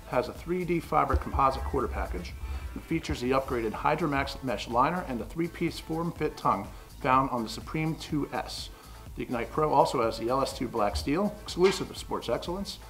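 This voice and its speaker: A man walking through hockey gear specs in a mid-pitched, even voice, one feature after another. Soft music sits in the background. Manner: listing specs methodically. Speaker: store staff presenting gear. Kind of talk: product rundown. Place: hockey gear store, indoors.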